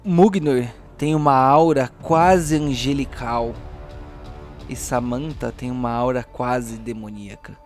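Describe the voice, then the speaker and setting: A man's voice: mid-range voice, steady tone, slow, a little mellow, faint music behind. A man with a Brazilian accent narrating a tabletop role-playing game as game master.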